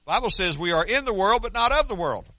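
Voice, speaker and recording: A man's voice: high voice, very animated, quick pace, pushed and bright, no room sound. An older American man preaching a sermon in an earnest, conversational way, often restarting his sentences. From United States. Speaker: pastor.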